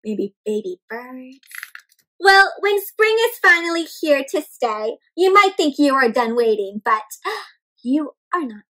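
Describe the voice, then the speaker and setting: Young woman doing a storytime for little kids, really high bright voice, super expressive. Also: slow, low-quality recording. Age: young adult. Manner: animated, expressive, with questions and gasps addressed to children. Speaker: children's read-aloud host. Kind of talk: interactive picture-book read-aloud for young children. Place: indoor room at home with plants and bookshelf.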